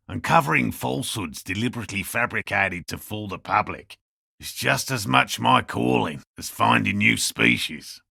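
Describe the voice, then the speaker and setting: A man playing a proud, prickly old cryptozoologist in a video game, with a gravelly, throaty voice and curt, precise academic diction. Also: on the low side, pushed and bright, dry recording, studio quality, full character voice. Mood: dismissive, scholarly. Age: older. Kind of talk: video game character dialogue.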